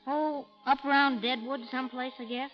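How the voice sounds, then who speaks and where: A woman playing a tough, lovesick frontier woman in an old radio drama, with rough, scolding Western speech. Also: sits high, fairly even intonation, moderate pace, natural voice. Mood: rueful. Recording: muffled old radio recording with music.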